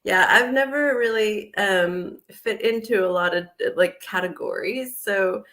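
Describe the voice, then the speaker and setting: An American woman in her forties joins an interview over a video call. She talks in an animated, chatty way. She laughs often and fills her sentences with likes and ums. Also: medium pitch, a little slow. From United States. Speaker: guest. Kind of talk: casual interview chat.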